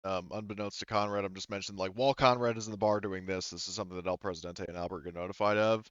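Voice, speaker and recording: A man acting as game master, improvising tabletop narration in a halting, casual way and often backtracking. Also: deep voice, fairly even intonation, dry recording, muffled recording.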